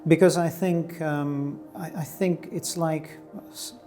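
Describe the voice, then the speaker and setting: A man's voice: fairly high pitch, fairly even intonation, slow pace, mellow. Thoughtful middle-aged man, light music under. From Greece. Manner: thoughtful, searching, with many fillers and restarts. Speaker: artist guest. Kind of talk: reflective interview answers.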